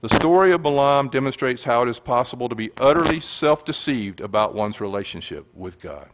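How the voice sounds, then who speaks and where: A man's voice: medium pitch, expressive, brisk, poor audio. A man gives an earnest sermon-style teaching and reads scripture aloud to a congregation. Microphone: handheld or stand mic.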